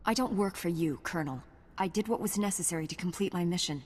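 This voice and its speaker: A woman's voice: lowish voice, quick pace, a little mellow, breathy edge. A woman playing a composed, dutiful and earnest young Jedi knight in a video game. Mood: firm. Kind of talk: scripted video game cutscene acting. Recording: long hall reverb, faint music.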